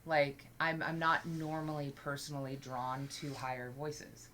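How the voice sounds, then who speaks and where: A woman with a low voice co-hosting a music reaction, chatting casually and explaining background details. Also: steady tone, measured pace, a bit bright, slight background noise. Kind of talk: casual chat.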